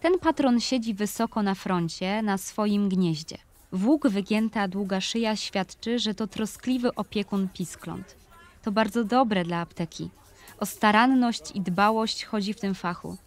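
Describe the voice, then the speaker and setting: A woman narrating scripted literary prose for an audio play in a composed, clearly phrased storytelling manner. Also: mid-range voice, a little slow.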